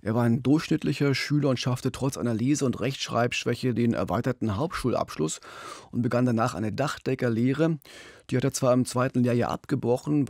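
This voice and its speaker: A middle-aged man tells a crime story in conversational podcast style. His medium-pitched voice has a noticeable fry, and the studio recording is very dry. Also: a little mellow, no room sound. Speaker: podcast co-host, crime reporter. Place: small radio studio. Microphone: studio microphone with pop filter, headphones.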